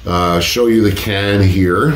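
A man's voice: deep voice, slow pace, reverberant. A man in his forties hosting a casual taste-test vlog from his home office, laid-back and cursing freely, his voice stuffed up from a cold. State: congested from a cold. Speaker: host. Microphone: desk condenser mic.

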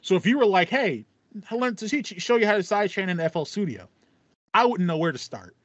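A man's voice: high-pitched, expressive, fairly quick, fairly bright tone, no echo. A young man hosting a podcast, chatting casually and acting out his reactions as he tells stories. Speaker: podcast host. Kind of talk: casual chat. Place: small home room with foam panel, indoors.

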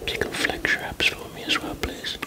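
A man in his thirties whispering softly in an encouraging, matey way in an ASMR roleplay, close to the mic. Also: brisk. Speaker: ASMR creator playing a bodybuilding prep helper. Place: block-walled garage gym with metal roof.

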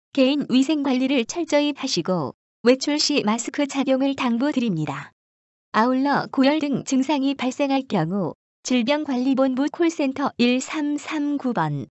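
A woman with a high voice delivers formal subway announcements with careful, measured wording. The recording is dry and a little dull and lo-fi, with music behind her. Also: darkish tone, no echo. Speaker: train announcer. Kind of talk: scripted subway public announcement.

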